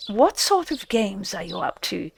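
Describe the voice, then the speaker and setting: A grumbling storybook water rat, put on by a woman, with heavy creak in the voice. The delivery is severe and contemptuous, with lively pitch movement and some background noise. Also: a little slow, heavily acted. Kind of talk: audiobook character voice.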